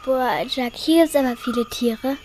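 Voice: high voice, normal speed, background noise, natural voice. A child playing a knowing, bossy young explorer in a school radio play, in a chiding, explanatory manner. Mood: alert.

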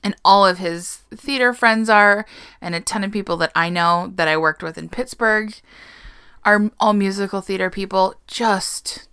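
A woman's voice: medium pitch, moderate pace, a bit bright, smooth, dry recording, clean studio sound. A young adult woman chatting casually as a co-host, gushing and laughing, sometimes dropping to a whisper. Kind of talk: casual chat.

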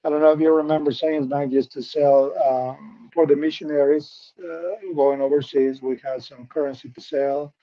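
A man's voice: on the high side, fairly even intonation, moderate pace, hardly any pauses. Peruvian-accented man telling his story, muffled. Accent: Peruvian Spanish. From Peru. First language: Spanish. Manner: earnest, repeats words and restarts often. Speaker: guest. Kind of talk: personal life story interview. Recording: muffled lo-fi audio.

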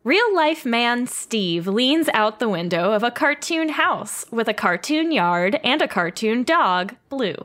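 Higher-voiced woman podcast co-host. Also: big pitch swings, measured pace, hardly any pauses, fairly bright tone. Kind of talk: casual podcast chat and script narration.

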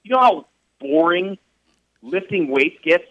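A man's voice: sits high, animated, a little slow, fairly bright tone, dry recording. A man hosting sports radio talk, emphatic and repetitive, firing off rhetorical questions. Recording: dull radio studio sound, dry.

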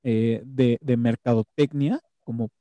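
A man with a very dark, mellow voice leads a relaxed film chat. He talks haltingly and keeps restarting his words. The sound is close and dry, with no room around it. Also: medium pitch, no room sound. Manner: hesitant, restarts words often. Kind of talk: casual film review chat.

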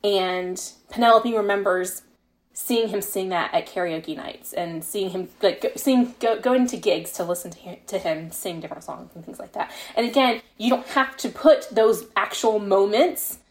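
A woman's voice: mid-range voice, normal speed. A woman with a mid-range voice talks as a guest author in a casual interview. She explains her creative choices reflectively, in loose, conversational sentences peppered with filler phrases. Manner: reflective, explains at length with frequent "you know" and "like".

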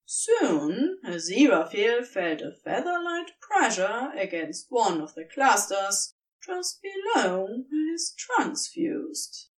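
A woman reading a fiction story aloud as narrator, in an expressive scripted storytelling style. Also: fairly high pitch, slow, recorded from a distance, rough recording.

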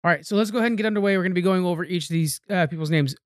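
A man's voice: sits high, rapid-fire, no echo, studio quality. A man co-hosting a podcast, chatting casually about television in a recap style. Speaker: podcast co-host.

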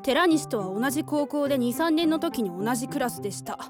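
A snappy, rude young woman of about twenty , voiced by an actress, high and very lively in pitch, jumping between flat mutters and sharp jabs. Music plays clearly behind her. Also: brisk. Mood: irritated. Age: 20s. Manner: rude, sharp-tongued, casual. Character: blunt, irritable 20-year-old woman. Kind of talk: radio drama acting.

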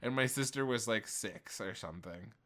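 A man's voice: lowish voice, moderate pace. A man in his late twenties in casual, rambling chat, often breaking off and restarting. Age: 20s. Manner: rambling, animated, self-interrupting.

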